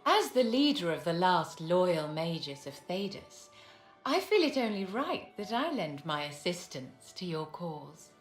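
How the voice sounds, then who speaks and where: An actress playing a poised, aristocratic mage in a video game, speaking in a measured, courtly and haughty manner. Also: female voice, on the low side, big pitch swings. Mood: courtly, composed. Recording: reverberant hall with music underneath.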